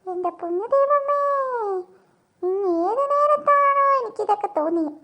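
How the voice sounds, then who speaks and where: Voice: very high voice, lively intonation, normal speed, pushed and bright, full character voice. A performer voicing a flustered mother in a comic family cartoon, soothing and placating her children. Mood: exasperated.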